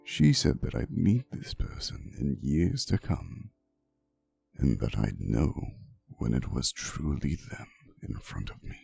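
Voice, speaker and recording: A man playing an ancient vampire boyfriend in an intimate ASMR roleplay, soothing and unhurried, gently teasing and fond as he tells stories. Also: very deep voice, wildly swooping pitch, vocal fry, breathy edge, soft background music, heavily acted. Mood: wistful, romantic.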